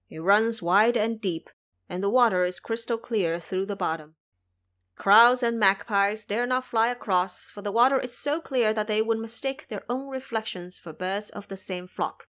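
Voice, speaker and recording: A humble god of the soil, voiced by a woman in an audio drama. He talks with measured, respectful care, as one addressing a superior. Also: mid-range voice, a bit bright, lightly acted. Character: deferential, slow-spoken male local earth and mountain gods. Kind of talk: audio drama character acting.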